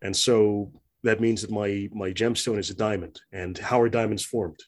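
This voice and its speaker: A man in an interview speaking reflectively, with many pauses, fillers and restarts. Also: fairly low pitch, fairly even intonation. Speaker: interview guest. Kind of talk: conversational interview.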